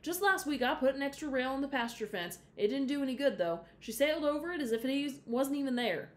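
A woman's voice: sits high, expressive, fairly quick, voice pushed up. A woman reading aloud, voicing a grouchy farmer father who speaks in a disgusted, firm way. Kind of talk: storybook read-aloud.

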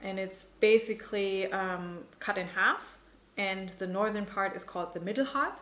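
A woman in her thirties being interviewed as a winemaker, explaining informally and pausing to think as she goes. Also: mid-range voice, fairly bright tone. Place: indoor tasting room with wooden table. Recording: roomy, echoing indoor sound.

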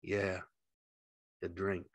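Casting: male reader as burdened, tender working man in an audiobook; folksy, low register, dry studio sound. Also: lively intonation, measured pace, pushed and bright, no room sound. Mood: numb, tired. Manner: plain, folksy, reassuring. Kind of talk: audiobook character acting.

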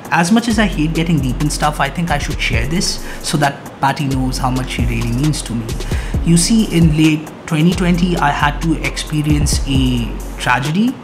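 A young man on a video channel, talking to camera from his small home studio in an earnest, dramatic, flowery way. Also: on the high side. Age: young adult. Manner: dramatic, earnest, flowery wording, lively hand gestures. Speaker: YouTube creator. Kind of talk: earnest scripted monologue to camera. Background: background music.

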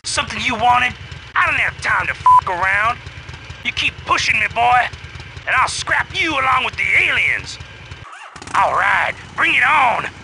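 Belligerent male soldier, high voice, swinging intonation, surly game barks, engine noise. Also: big pitch swings, measured pace, vocal fry, sharp tone, exaggerated voice, low-quality recording. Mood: angry, threatening. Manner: surly, confrontational. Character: irritable, belligerent, streetwise armored soldier. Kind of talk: video game unit barks.

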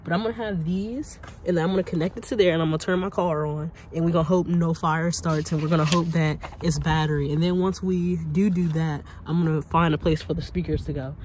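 A woman's voice: sits low, fairly even intonation, fairly quick, hardly any pauses, a little mellow, a little hiss or hum. A young adult American woman chatting casually to camera, thinking aloud in an informal way on a handheld phone in and around a car. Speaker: vlogger. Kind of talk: casual DIY chat to camera. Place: in and beside a parked car.

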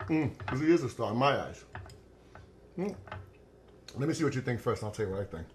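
A man's voice: mid-range voice, animated, brisk, slight background noise. A middle-aged man hosting a cooking show in a home kitchen, chatty and joking as he demonstrates a recipe.

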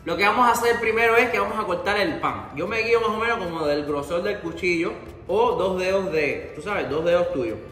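A man's voice: high voice, brisk, a bit bright, reverberant, soft background music. A young man from Cuba with a Cuban Spanish accent, chatting casually to viewers as he demonstrates a recipe in his home kitchen. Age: young adult. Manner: chatty, addresses viewers directly, mixes in English "so" and "ok". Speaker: cooking show host.

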